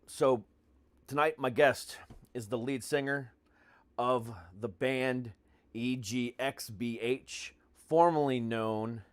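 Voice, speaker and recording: A man's voice: medium pitch, no room sound. A middle-aged American man hosting a podcast in casual, profane talk, with a brash, mock-grandiose showman's manner. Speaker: podcast host.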